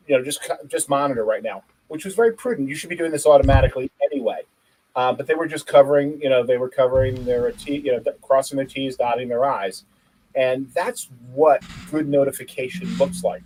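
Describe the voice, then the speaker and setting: Husky man telling a story. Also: medium pitch, moderate pace, low-quality recording. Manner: animated storyteller, jokey. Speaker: podcast co-host. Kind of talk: casual chat.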